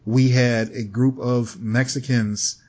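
A man's voice: mid-range voice, flat delivery, takes it slowly, a little mellow. A man co-hosting a podcast, chatting casually with frequent laughs and rambling explanations, from the American Midwest. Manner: laughs often, rambling storyteller. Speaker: podcast co-host. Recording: slightly dull lo-fi audio.

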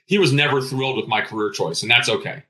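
Fast-talking middle-aged man, bright voice. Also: mid-range voice. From born in Greece. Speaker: interview guest, retired intelligence officer. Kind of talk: personal storytelling in an interview.

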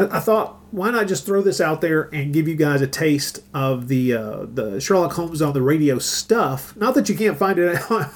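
A man's voice: a little high, a little mellow. A man hosting a podcast in a casual, chatty, rambling and informal way. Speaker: podcast host. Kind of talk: casual podcast introduction and chat.

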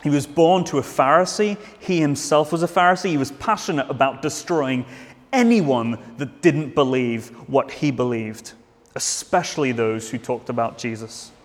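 A man's voice: mid-range voice, moderate pace, long reverb tail. A man preaching a sermon in a church, earnest, with frequent restarts and fillers.

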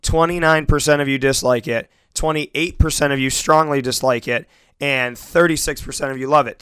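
Hoarse, raspy male sports-radio host. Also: on the high side, a bit bright, no echo, studio quality. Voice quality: scratchy, raspy. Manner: chatty, self-deprecating, addresses listeners as folks. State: hoarse, losing his voice. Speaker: sports talk show host. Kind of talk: casual sports radio talk.

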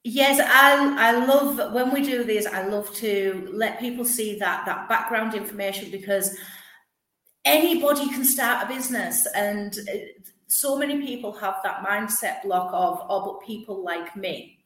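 A woman's voice: medium pitch, fairly bright tone. Upbeat woman podcast host, echoey room. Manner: upbeat and brisk. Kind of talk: casual interview chat.

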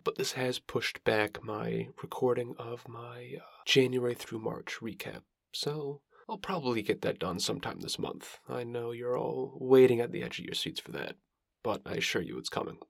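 Male podcast host, average pitch with noticeable vocal fry, dry recording. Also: normal speed, no echo. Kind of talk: podcast introduction and interview.

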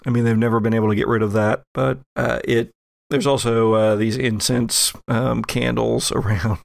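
A man narrating a horror tabletop game as game master, in a measured, descriptive storytelling manner. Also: mid-range voice, level tone, gravelly, dry recording, studio quality.

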